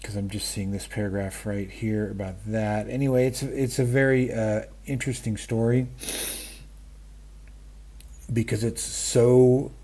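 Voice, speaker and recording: Husky, low-voiced middle-aged or older man. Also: steady tone, dark tone. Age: 50s or older. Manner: halting, self-interrupting, self-deprecating. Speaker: book vlogger. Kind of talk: casual rambling chat about stories and radio. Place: small room at home, indoors. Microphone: webcam framing, wearing wired earphones.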